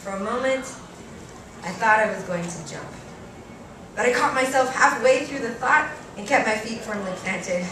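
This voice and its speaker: A woman's voice: a little high, animated, moderate pace. Echoey, distant woman reading a story dramatically. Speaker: reader performing a short story at a live event. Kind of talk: expressive dramatic reading of a first-person story. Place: live reading in a cafe venue. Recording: echoey room, distant mic, faint noise.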